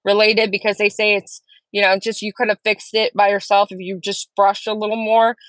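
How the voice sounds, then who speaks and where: A woman's voice: medium pitch, level tone, a little fast, no room sound, low-quality recording. Woman streaming from home, just chatting and answering comments, dry close sound. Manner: chatty, answers viewers' comments aloud, warm and earnest. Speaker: livestream host. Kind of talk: casual live-stream chat.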